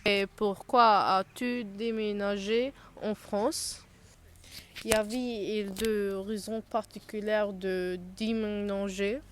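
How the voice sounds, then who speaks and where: A woman interviewing her young cousin, reading prepared questions in a slow, halting way and sometimes restarting words. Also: medium pitch, fairly even intonation, slight background noise. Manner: slow, halting delivery with restarts mid-word.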